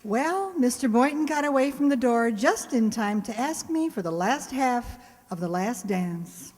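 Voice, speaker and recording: An actress playing a wry, self-mocking schoolteacher, dry and wisecracking, in an old-time radio comedy. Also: female voice, fairly high pitch, expressive, a little slow, a little mellow. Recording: reverberant hall sound.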